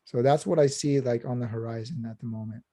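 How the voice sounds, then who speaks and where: Dark-voiced man, reflective historian. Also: medium pitch, fast, no echo, clean studio sound. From Southern California. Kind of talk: panel discussion.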